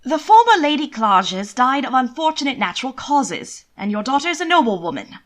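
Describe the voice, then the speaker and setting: Woman doing a stuffy polite envoy guy in a period audiobook, careful, patient, insistent, lilting pitch. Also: big pitch swings, moderate pace. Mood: offended courtesy. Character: proud, politely insistent male ducal envoy.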